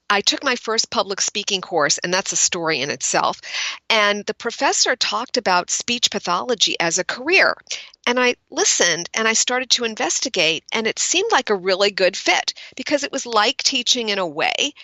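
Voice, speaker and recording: A middle-aged woman from Brooklyn with a General American accent, talking as an executive speech coach in a podcast interview, crisp and articulate. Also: medium pitch, bright, forceful tone, no room sound. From Brooklyn, New York.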